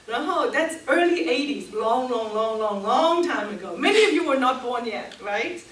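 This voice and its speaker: Lively Hong Kong woman teaching a seminar. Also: fairly high pitch, normal speed. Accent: Hong Kong Cantonese. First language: Cantonese. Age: 50s. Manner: lively, engaging the audience with questions. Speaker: workshop speaker. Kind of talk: live seminar talk. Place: seminar room. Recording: echoey room, mic at a distance.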